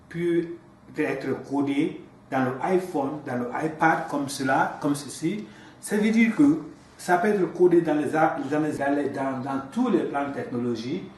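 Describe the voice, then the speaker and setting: A man's voice: fairly high pitch, a little mellow. Hesitant man interviewing in an echoey room. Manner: hesitant, repeats words, many fillers. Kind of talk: loose bilingual interview, many restarts. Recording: echoey room, from far off, faint noise.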